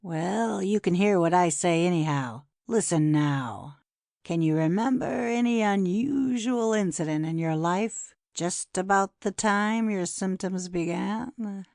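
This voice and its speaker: A woman plays a cruel, gloating poisoner in a dramatic audiobook. The delivery is slow and taunting, gravelly with fry, and set close in a dry space. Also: on the low side, a little mellow, no echo. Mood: mocking, menacing.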